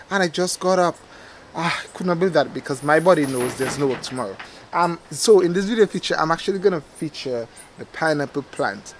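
A man's voice: a little high, slight background noise, poor audio. Man explaining his garden. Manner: relaxed explanatory, points at the plant as he talks. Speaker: gardening channel host. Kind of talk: casual how-to gardening vlog. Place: outdoor backyard garden beside a hoop house. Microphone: camera close up at face level.

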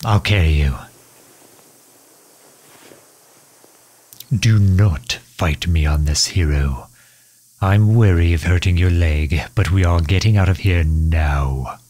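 A man playing a commanding, protective villain in a roleplay audio drama, formal and stern, with a husky, breathy voice. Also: low-pitched, a little mellow. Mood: urgent, commanding. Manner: formal, stern, halting when flustered. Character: protective, commanding male villain fretting over injured hero. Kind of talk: scripted roleplay audio drama in ASMR style.

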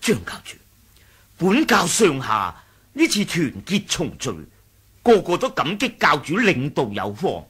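Husky, loyal sect lieutenant. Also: male voice, a little high, huge pitch swings, unhurried, fairly bright tone, exaggerated voice. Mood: respectful, proud. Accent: Hong Kong Cantonese. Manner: formal, respectful. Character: loyal, deferential senior male lieutenant of a martial sect. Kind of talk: 1980s radio drama acting.

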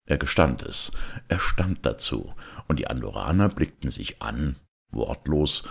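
Very deep, gravelly man reading literature. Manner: deliberate, with emphatic pauses. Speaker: reader of a literary text. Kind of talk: expressive literary reading, scripted narration.

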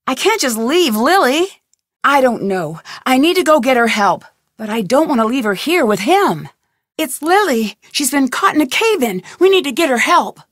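A young female sleuth in an adventure game, persistent and curious. Her voice sits a little high and moves with very lively intonation. She speaks earnestly and questioningly, recorded dry with no room around her. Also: moderate pace, dry recording. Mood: urgent, worried. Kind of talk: scripted adventure game voice lines.

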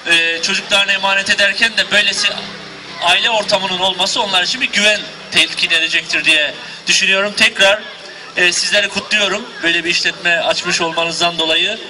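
A man, a local official, giving a formal, measured ceremonial speech outdoors into a handheld mic. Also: high-pitched, fairly even intonation, distant mic, noisy background. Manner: formal, measured, many pauses. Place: outdoors at a shop opening.

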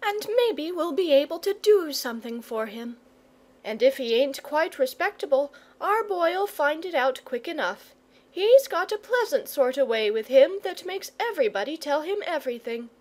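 A kindly country mother in a storybook, acted by a woman. She is sensible and homely, speaking rustic dialect. Her voice sits high as she gently fusses. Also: expressive, normal speed. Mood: kindly concern.

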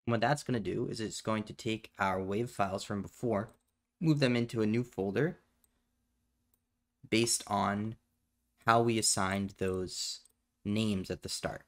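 A man hosting a step-by-step software tutorial in a casual, plainspoken way, recorded indoors at a computer. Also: fairly low pitch, unhurried, long pauses. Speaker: tutorial host. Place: indoors at a computer, voice over screen capture.

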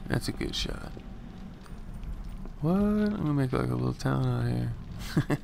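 A young man with a raspy morning voice, casually rambling through gameplay commentary with slang. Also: mid-range voice, lively intonation, unhurried, noisy background. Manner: slangy, rambling, laid-back. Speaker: gaming channel host.

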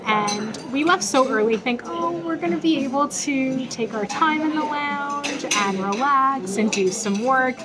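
A woman in her thirties with a slightly hoarse voice from a cold, chatting to a phone camera in an airport lounge. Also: high-pitched, unhurried, few pauses. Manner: chatty, explaining step by step. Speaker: travel vlogger. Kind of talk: casual travel vlog. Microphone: phone at arm's length. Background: clinking dishes and chatter.

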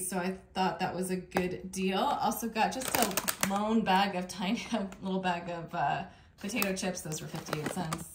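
A woman's voice: fairly high pitch, a little hiss or hum. Chatty woman narrating a grocery haul. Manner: chatty, upbeat, with fillers and little laughs. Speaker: vlogger showing a grocery haul. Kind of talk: casual chat. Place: indoors at a kitchen table.

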